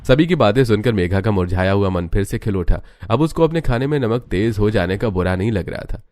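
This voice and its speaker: Guy narrator w a deepish voice reading out a cartoon family story. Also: a little mellow, dry recording.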